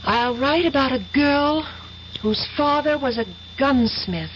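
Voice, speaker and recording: A woman playing a brisk, self-assured young engineering student in a vintage radio mystery. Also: high voice. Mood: excited, inventive. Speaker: radio drama actor. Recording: muffled, hissy old radio recording.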